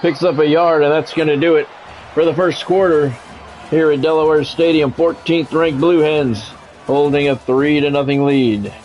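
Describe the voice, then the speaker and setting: Male game streamer, lightly higher voice, polished clipped play-by-play with casual asides, music in the background. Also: steady tone, moderate pace, dull audio. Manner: practised radio-style play-by-play, clipped phrases. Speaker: streamer doing play-by-play of a football video game. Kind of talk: sports commentary mixed with casual chat.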